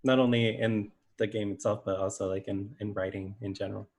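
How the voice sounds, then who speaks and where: A man's voice: fairly low pitch, fairly even intonation, brisk, a little mellow, slightly rough. A man talking casually in a panel discussion.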